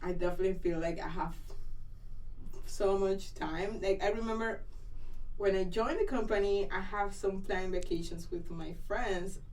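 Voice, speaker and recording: A woman's voice: mid-range voice, normal speed. A woman with a Colombian accent, a guest chatting in an interview and telling stories with many fillers. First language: Spanish. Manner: lively, animated storytelling with many fillers. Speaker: guest software engineer. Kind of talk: casual interview chat. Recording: distant mic with faint background noise.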